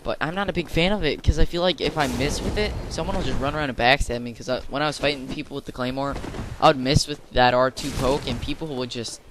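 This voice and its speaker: Slightly hoarse young man, gameplay commentary. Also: mid-range voice, quick pace, background noise. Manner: chatty, rambling, with fillers and restarts. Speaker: gaming channel host.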